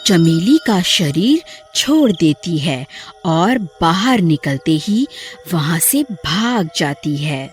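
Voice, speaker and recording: A woman narrates a horror story with animated, swinging intonation. She takes her time over each sentence, and music plays clearly behind her. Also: lowish voice, takes it slowly.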